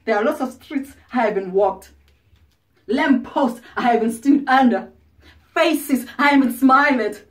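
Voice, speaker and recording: An actress playing a proud, bitter ageing former prostitute, wounded and defiant, in a confrontational stage monologue with pointed pauses. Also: female voice, a little high, close to an everyday voice. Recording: distant microphone.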